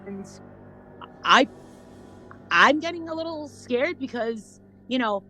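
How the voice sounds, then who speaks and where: Halting, rambling woman over music. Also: sits high, expressive, pushed and bright. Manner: halting, rambling, repeats words. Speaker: panel guest. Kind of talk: casual gossip chat.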